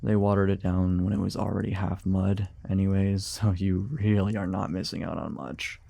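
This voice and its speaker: A man's voice: low voice, little pitch movement, normal speed, creaky, warm tone, no room sound, exaggerated voice. A man playing a weary, grumbling monster hunter in an immersive fantasy audio roleplay, guarded yet sociable, with a hesitant, wryly sarcastic, muttering delivery. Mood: wry, friendly.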